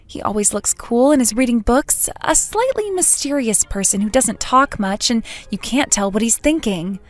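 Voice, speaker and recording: A woman's voice: on the high side, animated, normal speed, close to an everyday voice. A woman playing a sociable but nervous, lovesick schoolgirl in a manga dub, with an emotive, hesitant delivery. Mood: fond narration. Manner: emotive, self-doubting, hesitant. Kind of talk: dramatic manga dub narration and dialogue.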